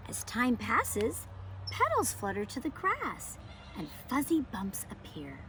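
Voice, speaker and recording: Expressive woman teaching and reading to children. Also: a little high, slow pace, a little hiss or hum. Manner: expressive, emphatic storytelling to young children. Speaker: homeschool teacher hosting a read-aloud. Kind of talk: children's picture book read-aloud and lesson presenting.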